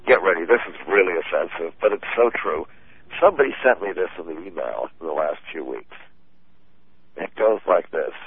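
A man whose first language is German talks in a low, husky voice, rambling through his points with lots of fillers and chuckles. The sound is thin and phone-like, completely dry. He chats casually as a guest on the show. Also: dry recording. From United States. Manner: rambling, laughing, many fillers. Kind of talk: casual chat. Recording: tinny phone-line sound.

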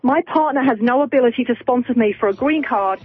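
A woman's voice: fairly high pitch, fast, husky, soft background music. British woman calling in by phone. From Britain. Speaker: caller. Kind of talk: phone-in call. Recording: tinny phone line on radio.

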